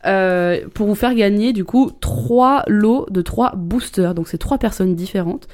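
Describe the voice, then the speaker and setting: A woman co-hosting a casual podcast, chatty and relaxed, announcing a giveaway. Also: mid-range voice, a little slow. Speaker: podcast co-host.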